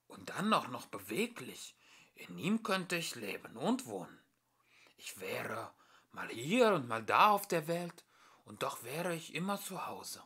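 A man voicing a polite, gentle, friendly little gentleman with a courteous, wondering manner in a storybook reading. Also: mid-range voice, sing-song, measured pace, husky, heavily acted. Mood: dreamy wonder.

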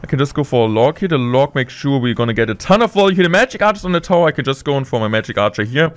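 Young man giving steady gameplay commentary. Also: mid-range voice, rapid-fire. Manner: steady play-by-play, excited bursts at big plays. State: slightly sick. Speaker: game streamer. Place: indoor streaming room.

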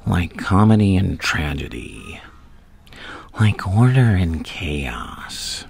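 A man acting out a menacing, playful mad clown villain in a soft-spoken ASMR roleplay, taunting and intimate, often dropping to a whisper. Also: low-pitched, sing-song, slow pace, vocal fry, husky, full character voice. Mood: gloating, seductive.

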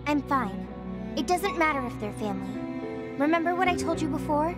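Girlish anime game heroine soldier, very high voice, sincere, resolute yet self-doubting. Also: animated, normal speed, loud music behind the voice. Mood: earnest, resolute. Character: earnest young woman soldier, determined but self-doubting.

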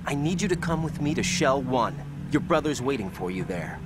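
An actor playing an earnest young soldier in a video game, speaking in an urgent yet reassuring manner. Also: male voice, mid-range voice, very animated, normal speed, very noisy, natural voice. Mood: insistent. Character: earnest young male soldier on a rescue mission. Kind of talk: dramatic game cutscene acting.

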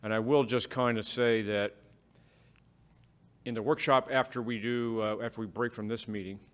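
A man on city staff walking a council through scheduling at a public meeting, in a measured way with fillers and restarts. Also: mid-range voice, steady tone. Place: council chamber.

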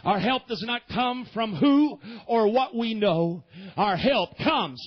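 A man preaching a sermon as pastor, swinging between shouted, fervent climaxes and low, quiet stretches, with frequent "amen". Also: animated, slow, dull audio.

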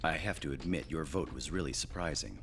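An actor playing a stern, skeptical young male space cadet in an animated film dub, with an authoritative manner. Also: very deep voice, brisk, background noise. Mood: commanding.

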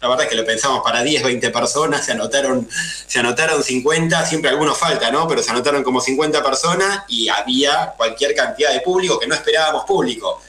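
A man's voice: mid-range voice, fast, pushed and bright, echoey room, poor audio. A man in his thirties from Argentina with a Buenos Aires accent, answering radio interview questions earnestly and with many fillers. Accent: Buenos Aires Spanish. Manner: earnest, explanatory, lots of fillers. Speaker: guest.

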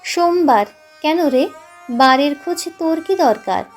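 A woman's voice: sits high, animated, unhurried, pitched above the performer's own voice. A woman voicing a weary, brusque, poor village mother in an audiobook story, with music underneath. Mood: weary, dismissive. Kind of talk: audiobook character voice.

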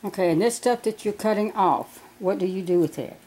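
A woman's voice: mid-range voice, a little fast, warm tone. A woman making casual remarks and asking questions in a kitchen.

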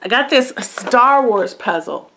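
A woman's voice: on the high side, expressive, bright, forceful tone, a little hiss or hum, low-quality recording. Congested middle-aged woman chatting casually. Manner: chatty and unhurried, with frequent ums and asides. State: congested from a head cold. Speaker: haul vlogger. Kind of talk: casual show-and-tell chat. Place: living room by a brick fireplace, indoors.

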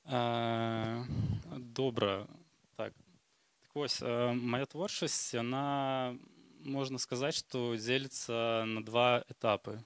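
A man's voice: medium pitch, fairly even intonation, takes it slowly, smooth, no echo. A man from Belarus presenting his artwork at a roundtable, hesitant and full of fillers. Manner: hesitant, many fillers, quiet. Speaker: panelist artist. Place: gallery room with audience.

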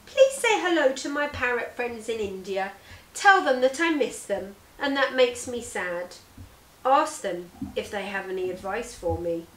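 A woman's voice: on the high side, animated, a little slow. A woman voicing a wistful, clever caged parrot from a storybook, in a polite and earnest manner. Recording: roomy indoor echo.

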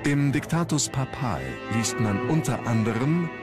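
A man reading scripted historical documentary narration in a measured, formal storytelling manner. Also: mid-range voice. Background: background music.

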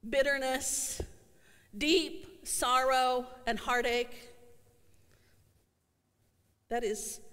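A middle-aged woman pastor delivering an earnest sermon, slowing down to stress her refrains, recorded in a church sanctuary. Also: high voice, fairly bright tone. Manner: earnest, slows for emphasis on refrains. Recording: long church hall reverb.

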